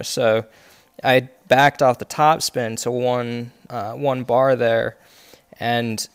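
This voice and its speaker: A congested man with a gravelly, fry-laden voice talks through his golf game shot by shot, pausing on fillers as he reasons aloud. His pitch sits in the middle of a man's range, and faint music runs behind him. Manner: explains his shots step by step, with many fillers. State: congested, coughing. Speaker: game streamer. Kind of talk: live gameplay commentary.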